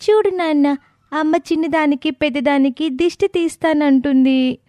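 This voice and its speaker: A woman's voice: high voice, normal speed, warm tone, dry recording, natural voice. A woman playing a sweet, innocent little daughter in a moral story. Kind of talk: dramatized moral story acting.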